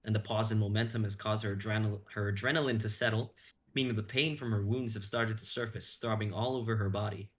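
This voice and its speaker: A man's voice: lowish voice, steady tone, quick pace. A young man reading story narration aloud in an intense, suspenseful manner. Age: young adult. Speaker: stream host and narrator.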